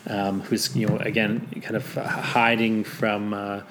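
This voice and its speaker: Brief: male interviewer, halting and filler-heavy, faint hiss in the room. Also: fairly low pitch, little pitch movement, fairly bright tone. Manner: hesitant, many fillers and laughs. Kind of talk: conversational interview.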